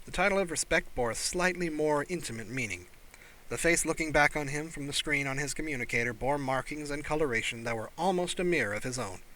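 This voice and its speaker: A man's voice: medium pitch, sharp tone, low-quality recording. A man narrating a story as an audiobook reader, in scripted prose.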